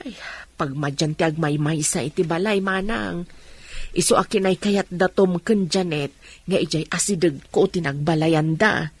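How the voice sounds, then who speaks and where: A woman's voice: fairly low pitch, moderate pace. A woman playing a concerned, sympathetic mother in an Ilocano radio drama, speaking in a fretful way. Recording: clear background noise and ambience.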